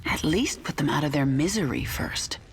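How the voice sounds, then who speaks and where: A woman's voice: low voice, very animated, normal speed, a little hiss or hum. An actress playing a stern, imperious queen of an alien swarm in a video game, speaking curtly with simmering menace. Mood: stern, impatient. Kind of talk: video game character acting.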